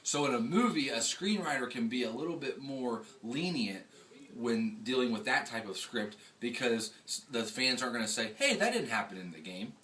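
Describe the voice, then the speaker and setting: A man's voice: mid-range voice, expressive, normal speed, bright, forceful tone. A man hosting a casual video blog, chatting and commenting in an emphatic way with rhetorical questions. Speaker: host. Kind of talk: casual vlog commentary and chat. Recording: distant mic, faint background noise.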